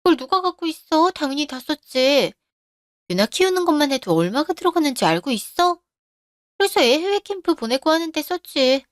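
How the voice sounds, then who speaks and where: A woman voicing a selfish, sharp-tongued younger sister and young mother in a narrated radio story. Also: high voice, very animated, brisk, no room sound, clean studio sound. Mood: defensive.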